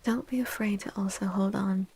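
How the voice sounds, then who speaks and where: A woman giving a tarot reading in soft-spoken ASMR style, gentle and reassuring, with a breathy voice that often drops into a whisper. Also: mid-range voice, fairly even intonation, normal speed, slight background noise. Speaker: tarot reader, streamer.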